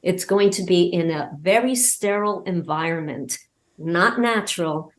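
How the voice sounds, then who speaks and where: A woman's voice: lowish voice, measured pace. A woman talking casually as an interview guest, hesitant and wandering as she searches for words. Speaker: podcast guest and voice actor.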